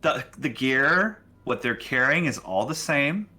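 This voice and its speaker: A man narrating a tabletop game as game master in a deliberate, suspenseful, dramatic style. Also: mid-range voice, expressive, over music.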